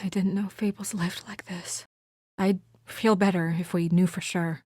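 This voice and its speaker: A woman's voice: lowish voice, steady tone, unhurried, dark tone, no room sound. A woman acting as a composed, earnest young investigator in a noir fantasy video game, serious and restrained with a dry edge. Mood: hushed, shaken. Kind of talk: video game voice acting, naturalistic dramatic dialogue.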